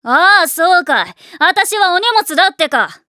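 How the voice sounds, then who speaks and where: Anime tomboy schoolgirl, high voice, bright and forceful, rough slang, blunt, hot-tempered. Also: expressive, measured pace, dry recording, studio quality, full character voice. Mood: hurt, angry. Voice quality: rough, slangy boyish speech. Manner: blunt, defensive. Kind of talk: anime voice acting.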